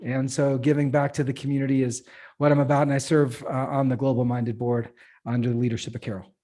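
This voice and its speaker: A man's voice: medium pitch, level tone, fast talker, dark tone. A middle-aged man earnestly telling his career story on a video panel, with frequent uhs. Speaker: panelist, nonprofit CEO. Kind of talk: panel self-introduction.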